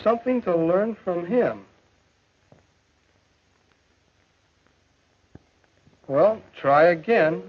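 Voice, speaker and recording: An American actor playing an earnest, self-doubting high school boy athlete in a 1950s educational film, with stagey delivery. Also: animated, measured pace, breathy edge, exaggerated voice. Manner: earnest, stagey thinking aloud. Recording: muffled old 1950s film soundtrack.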